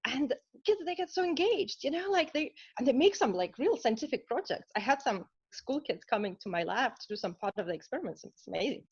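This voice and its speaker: A woman's voice: high voice, animated, fairly quick, breathy edge. Hesitant Lithuanian woman, lo-fi. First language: Russian. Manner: earnest, many hesitations. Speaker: scientist guest. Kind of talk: interview answers.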